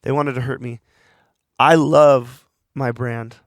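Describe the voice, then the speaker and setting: A man's voice: mid-range voice, fairly even intonation, slow pace, no echo. A man hosting a podcast, talking alone in a rambling, earnest way while he is losing his voice. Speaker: podcast host. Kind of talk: reflective solo monologue.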